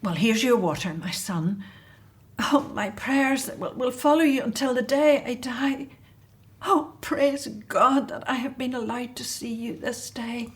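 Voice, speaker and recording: A woman's voice: on the high side, measured pace, lightly acted. An actress playing an anxious, devout and tender elderly mother in a biblical radio drama. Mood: tearful, tender.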